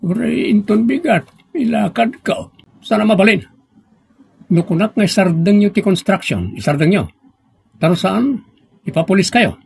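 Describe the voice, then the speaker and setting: Arrogant town engineer, male actor: raised pitch, lively swings, curt radio-drama bossiness. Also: normal speed, gravelly, long pauses, slight background noise. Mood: commanding. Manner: curt, domineering. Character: arrogant, stern male municipal engineer abusing his authority.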